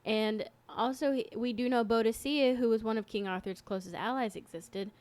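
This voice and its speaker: A woman's voice: a little high, normal speed, a little mellow. A woman co-hosting a podcast, explaining things in an earnest, informal storytelling way. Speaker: podcast co-host. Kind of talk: casual conversational explaining.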